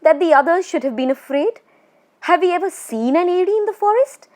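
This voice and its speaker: A woman's voice: very high voice, animated, brisk, a little mellow, pitched above the performer's own voice. A woman voicing a brave village man in a children's storybook, firm and dismissive, with no belief in ghosts. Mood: scoffing.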